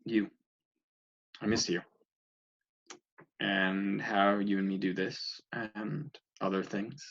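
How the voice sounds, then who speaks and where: A man acting a lovestruck young boyfriend, needy and a bit sardonic. His voice is husky, on a dull lo-fi recording of a table read. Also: on the low side, little pitch movement, takes it slowly, a bit bright, heavily acted. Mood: longing. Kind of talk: script read-through acting.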